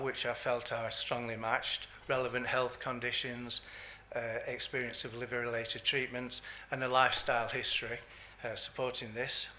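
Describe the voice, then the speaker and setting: A man's voice: medium pitch, pushed and bright, a little hiss or hum. A man speaking at a conference as a patient governance advisor, hesitant, with frequent ums and uhs. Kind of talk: conference talk. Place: conference hall.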